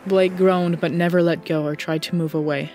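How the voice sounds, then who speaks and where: A woman's voice: on the low side, steady tone, moderate pace, a little mellow, faint music behind. A woman reading scripted story narration as the narrator of an audiobook.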